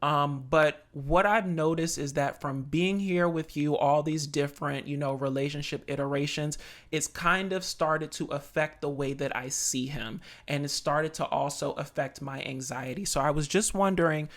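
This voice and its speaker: A man's voice: on the high side, moderate pace, a bit bright. A man hosting a podcast, giving casual advice with lots of "you know" and "right?" asides. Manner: conversational, coaching, many "you know" and "right?" tags.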